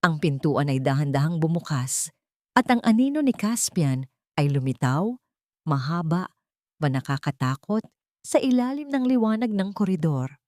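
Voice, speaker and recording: A woman narrates a scripted love drama audiobook. Her pitch is low for a woman, and her delivery is dramatic storytelling. The recording is dry, with no room sound. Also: big pitch swings, moderate pace, mellow, dry recording.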